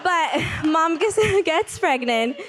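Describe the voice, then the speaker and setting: Young peruvian woman sharing her testimony, lively and laughing as she tells it. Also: very high-pitched, slow. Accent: Peruvian Spanish. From Peru. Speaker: testimony speaker. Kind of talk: personal testimony.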